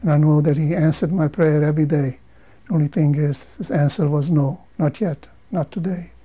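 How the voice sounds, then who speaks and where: An older man from Romania, whose first languages are Hungarian, Romanian, Yiddish and Hebrew, giving a measured, halting interview testimony with frequent restarts. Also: on the high side, steady tone, husky, lo-fi sound.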